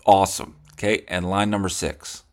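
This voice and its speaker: A man's voice: deep voice, little pitch movement, normal speed. A man chatting casually as a podcast host, joking, teasing and swearing freely while he encourages the other person. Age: adult son of the other speaker.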